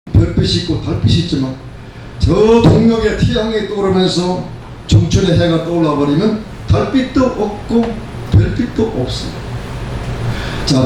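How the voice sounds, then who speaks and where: Echoey, higher-voiced elderly male preacher. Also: a little mellow. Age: 70s. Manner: emphatic, repeats phrases in parallel for stress. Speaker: pastor preaching. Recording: very echoey room, distant mic, faint noise.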